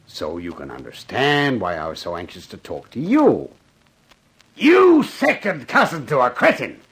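An actor playing a tough, sardonic, bullying police lieutenant in a 1950s radio detective drama. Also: male voice, mid-range voice, wildly swooping pitch, a little slow, a bit bright, breathy edge, heavily acted. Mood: angry. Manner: sarcastic, menacing, relishing his power.

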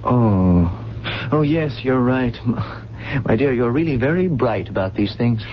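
An actor playing a meek, fretful, courteous professor in a 1970s radio drama, speaking gently and hesitantly. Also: male voice, mid-range voice, lively intonation, a little slow, slight background noise, lightly acted. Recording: dull 1970s radio broadcast.

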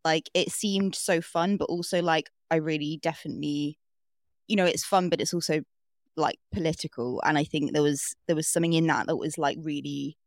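A woman's voice: deep voice, no room sound, studio quality. A young woman from England, a band's guitarist, speaking in a casual interview and hesitating, with lots of "like". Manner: hesitant, many fillers like "like". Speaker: band guitarist, guest.

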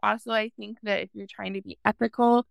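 A woman's voice: medium pitch, fairly even intonation, a little fast, gravelly, clear, resonant tone, no room sound, clean studio sound. A young woman from Hawaii, a farmer guest talking in a casual interview, thoughtful and full of "um" fillers.

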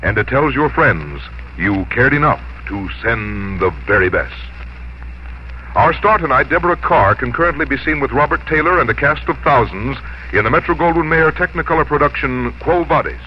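Animated male old-time radio announcer. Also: medium pitch, moderate pace, slight background noise. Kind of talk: formal 1950s radio announcing. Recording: dull 1950s radio recording, faint music.

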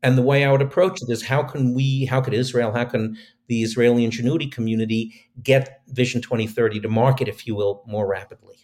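A man's voice: mid-range voice, fairly even intonation, brisk, a little mellow. Earnest man giving interview answers. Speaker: venture capitalist guest. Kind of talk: interview discussion of business and heritage.

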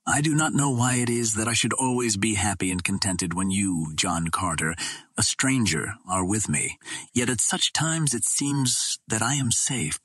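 Storybook Martian princess, read by a man: proud, tender, formal, gentle, with a husky edge. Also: lowish voice, expressive, normal speed, no echo. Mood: tender, thoughtful. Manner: formal, gentle, thoughtful phrasing.

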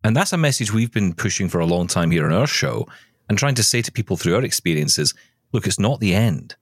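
A man's voice: lowish voice, expressive, fairly quick, slightly rough, no room sound, studio quality. A man hosting a conversational interview, speaking emphatically and repeating phrases to stress his point. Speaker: show host interviewer.

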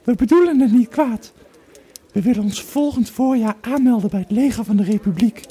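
Breathy high-pitched timid boy. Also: brisk, dark tone. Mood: nervous, apologetic. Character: timid, polite, eager teenage Roman boy. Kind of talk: audio drama dialogue.

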